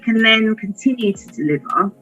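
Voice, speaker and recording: A woman's voice: on the high side, expressive, normal speed, fairly bright tone, faint music behind. A woman explaining things informally as a school staff member, in hesitant, loosely structured phrasing. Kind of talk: informal explanation in an online discussion. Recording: slightly muffled, band-limited audio.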